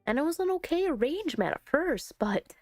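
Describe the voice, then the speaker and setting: A woman doing let's play commentary on a visual novel, reading it aloud and chatting with expressive, playful asides. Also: high-pitched, brisk, dry recording. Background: soft music.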